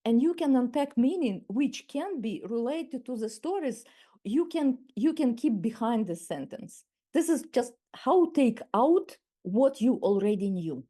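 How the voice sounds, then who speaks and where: A Ukrainian-accented woman of around sixty leads a webinar masterclass over a video call. Her voice sits a little high but sounds mellow. She speaks in a measured way and often circles back to restart her phrases. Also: expressive. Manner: measured, with frequent restarts and repeated words. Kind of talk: webinar talk.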